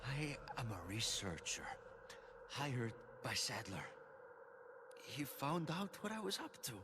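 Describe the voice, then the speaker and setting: A man playing a dying, urgent researcher, weak and breathy, in a video game cutscene. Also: mid-range voice, big pitch swings, slow pace, bright, forceful tone, exaggerated voice. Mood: pained, urgent. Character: wounded, dying male researcher, urgent and sincere.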